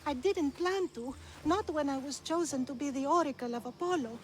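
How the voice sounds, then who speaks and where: A woman's voice: sits high, brisk, lightly acted. A woman playing a remorseful, fearful elderly oracle and grandmother in a video game cutscene, pleading and contrite. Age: older.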